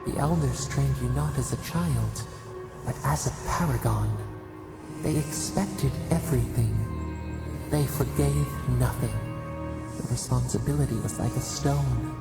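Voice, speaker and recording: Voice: mid-range voice, unhurried, vocal fry. An actor playing an ancient, solemn nature spirit in a video game, speaking cryptic, poetic, portentous lines with an echo. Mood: solemn, mournful. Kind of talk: video game dramatic narration. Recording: echoing voice under prominent music. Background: loud music.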